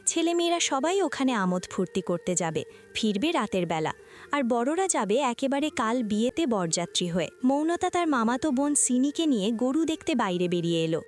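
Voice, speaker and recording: A woman reading scripted narration for a romantic family audio story. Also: on the high side.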